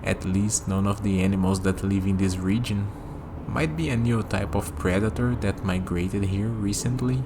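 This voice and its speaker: A man's voice: fairly low pitch, fairly even intonation, moderate pace, background noise, close to an everyday voice. A man acting a friendly, easygoing human on a night walk in an ASMR roleplay, musing conversationally to the listener. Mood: casual, friendly.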